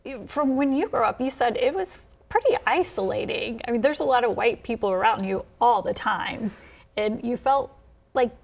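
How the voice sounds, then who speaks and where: A woman's voice: fairly high pitch, animated, moderate pace, creaky. A woman hosting a TV news interview show, leading the conversation in a friendly, conversational way.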